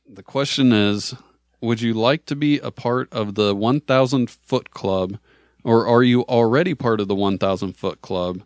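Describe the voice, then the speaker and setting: A man's voice: medium pitch, measured pace, a little mellow, no echo, clean studio sound. An American man hosting a casual comedy podcast in an easygoing conversational way. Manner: laughs often while talking. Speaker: podcast host.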